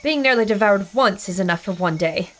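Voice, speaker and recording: An actress playing an intrepid young woman reporter who speaks in a sharp, decisive way. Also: medium pitch, expressive, brisk, very noisy, lightly acted. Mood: determined. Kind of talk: audio drama acting.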